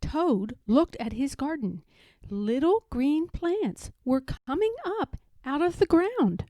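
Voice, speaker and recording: Female storybook narrator: higher pitch, mellow tone, expressive delivery for children. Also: takes it slowly, no echo, clean studio sound. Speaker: read-aloud storyteller.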